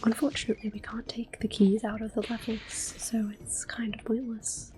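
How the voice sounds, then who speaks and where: A woman whispers softly and breathily through a video game as an ASMR host. Her voice is dark and mellow, gentle and unhurried, with faint music behind it. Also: fairly high pitch, level tone, low-quality recording.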